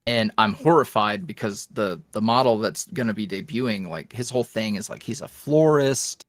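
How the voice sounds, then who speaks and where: A man's voice: on the low side, moderate pace, no echo. Male guest, chatty and meandering, dry close sound. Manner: rambling, filler-heavy, self-deprecating. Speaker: podcast guest, ASMR roleplay creator. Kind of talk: casual interview chat.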